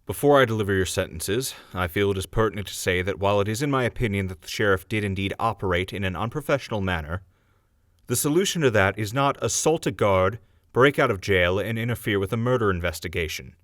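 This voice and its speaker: A man's voice: fairly low pitch, quick pace, fairly bright tone, no room sound, studio quality, lightly acted. A man voicing a stern town judge who speaks formally and scolds the defendants.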